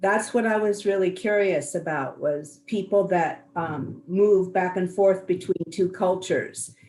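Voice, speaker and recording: A woman's voice: on the low side, measured pace, a little mellow, reverberant, low-quality recording. A woman moderating a film festival Q&A in a conversational interviewing style.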